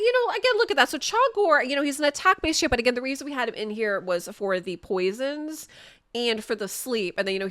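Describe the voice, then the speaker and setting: A woman's voice: fairly high pitch, bright, forceful tone. A young woman casually commentating her gameplay to viewers, sniffly from a sinus infection, chatty and upbeat, recorded indoors on a desk mic. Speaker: gaming content creator.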